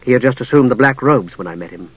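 A man's voice: medium pitch, animated, fast, husky, no echo, dull audio. A man voicing a regal, knowing middle-aged female knight with cultured, composed diction in a fantasy audiobook. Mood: wry, composed.

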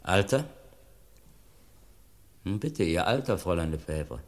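A man playing an authoritative presiding judge in a radio crime play, formal, measured and commanding. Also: low-pitched, very animated. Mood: formal, official.

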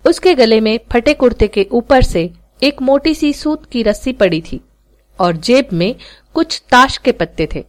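Female story narrator for podcast fiction. Slightly high voice, animated intonation, scripted literary read. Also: measured pace.

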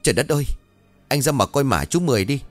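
A male storyteller puts on a scared young farmhand in a village horror tale. The voice is raised high, deferential and panicky over music. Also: expressive, moderate pace, dry recording, lightly acted. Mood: alarmed. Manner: panicked high-pitched crying out.

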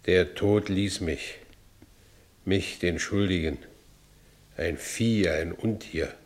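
A man's voice: sits low, slow. An actor playing Franz, a broken, stubborn working-class man with a Berlin accent, in blunt short phrases in a radio play. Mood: subdued, remorseful. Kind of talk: first-person radio play narration and acting.

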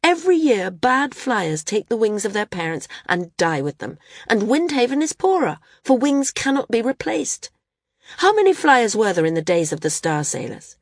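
A woman's voice: a little high, sing-song, normal speed, no echo, clean studio sound, pitched above the performer's own voice. A woman voicing a passionate young woman who argues urgently for her brother. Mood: impassioned. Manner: impassioned, urgent. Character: passionate young woman flyer, pleading sister.

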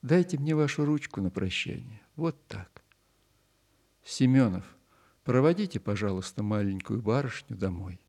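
A kindly old newspaper editor for a children's audio story. The voice is soft-edged and dark, with a fair amount of gravel. He phrases things with deliberate, old-fashioned politeness and a sentimental streak. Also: on the low side, animated. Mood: warm, courteous. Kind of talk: audio play acting for children.

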